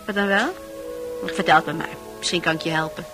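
An actress playing a forward, coaxing woman who is a bar regular in a Dutch radio drama, familiar and expressive. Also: on the low side, a little fast, close to an everyday voice. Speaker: actor in a radio play. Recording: music playing behind.

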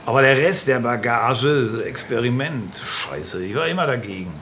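An actor playing a jovial, domineering small-town power broker in a radio drama, blunt, folksy and patronising. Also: male voice, medium pitch, moderate pace, fairly bright tone, slight background noise, close to an everyday voice. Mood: disdainful. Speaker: local political fixer. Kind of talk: naturalistic radio drama acting.

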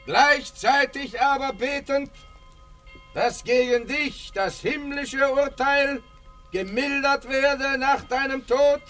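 An actor playing a bishop who slowly pronounces a formal church verdict in a classic radio play. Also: male voice, extremely high pitch, bright, forceful tone, soft background music, exaggerated voice. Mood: solemn. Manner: slow, solemn, formal decree. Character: pompous male bishop pronouncing judgment, solemn.

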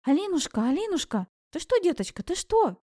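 An elderly village grandmother played by a woman narrator for an audiobook. She speaks in a raised pitch with folksy tenderness, chiding gently and reassuring knowingly. The sound is close and dry. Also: expressive, normal speed, no room sound, natural voice. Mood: alarmed. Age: older. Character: kindly old village granny, gently chiding and soothing. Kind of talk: audiobook character voice.

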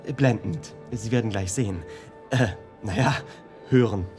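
An actor playing a nervous, deferential concert official in a radio play, hesitant and stammering. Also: male voice, fairly low pitch, moderate pace, a little mellow, faint music behind, natural voice. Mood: flustered, eager. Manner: hesitant, stammering, throat-clearing.

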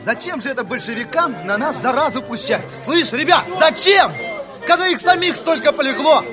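An actor playing an anxious, easily riled peasant soldier, folksy and nagging, repeating himself, in 1970s Soviet radio drama style. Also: male voice, extremely high pitch, lively intonation, moderate pace. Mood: doubtful, suspicious. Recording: noisy crowd and music behind.

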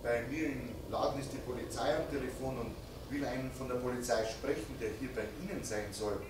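Guy acting simple helpful shopkeeper, old radio play, mid pitch, noisy old recording. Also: fairly quick, close to an everyday voice. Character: plain-spoken male neighbouring shopkeeper, helpful. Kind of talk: mid-century German radio crime drama acting.

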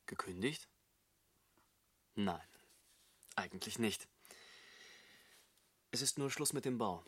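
A man's voice: lowish voice, expressive, slow pace, fairly bright tone, slightly rough, no echo, heavily acted. An actor playing an embittered, despairing jobless man of thirty in a German radio drama, brooding and sarcastic. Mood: bitter, sarcastic. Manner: bitterly sarcastic, brooding, emphatic.

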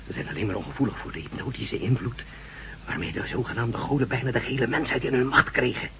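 An actor playing a badly wounded Russian space official in a radio drama, speaking haltingly and short of breath as he pleads urgently. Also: medium pitch, lively intonation, lightly acted. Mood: grave, urgent. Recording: radio recording with faint noise.

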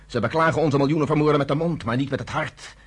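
A tough resistance boss, terse, bit breathy voice. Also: male voice, on the high side, fast. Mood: bitter. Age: 30s. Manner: blunt, commanding, terse. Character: hard-bitten underground leader, man about thirty, blunt. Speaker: actor in a radio play.

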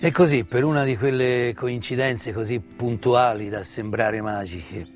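A man delivers a solemn theatrical monologue, with emphatic, rhetorical phrasing and deliberate pauses. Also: medium pitch, faint music behind. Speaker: stage actor performing his own monologue. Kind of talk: dramatic theatrical monologue, solemn commemorative storytelling. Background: live musical accompaniment.

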